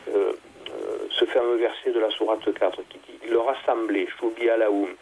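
A man, a theology guest, explaining at length in a halting conversational way, with many fillers and restarts. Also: fairly low pitch, fairly even intonation, darkish tone, husky, rough recording.

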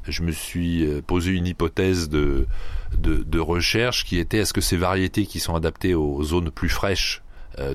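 Very low-pitched man, agronomist guest, measured with many pauses, dry close sound. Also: dry recording. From Paris region, France. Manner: measured, many hesitations and restarts. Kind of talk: radio interview answers.

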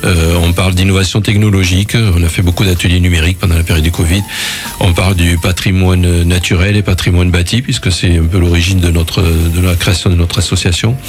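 A deep-voiced man from southwest France speaks as a radio guest for a local association. He explains things off the cuff, repeating words as he goes, with faint music behind. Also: level tone, quick pace. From Gers, southwest France. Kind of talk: unscripted explanatory interview talk.

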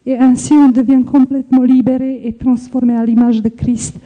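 Earnest older woman, very bright high voice. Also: level tone, poor audio. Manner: slow, earnest, reflective with hesitations. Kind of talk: personal faith testimony.

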